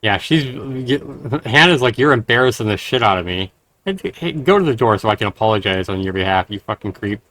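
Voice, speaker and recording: A man's voice: on the low side, expressive, fairly quick, fairly bright tone. A man streaming a game, reading its text aloud and adding blunt, profane casual commentary.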